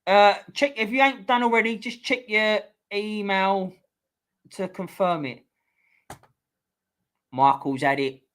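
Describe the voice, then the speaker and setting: A middle-aged man hosts a casual live stream quiz, bantering with viewers and swearing freely. His voice is going a bit hoarse. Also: high voice, takes it slowly, pauses often, fairly bright tone. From England. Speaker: livestream host.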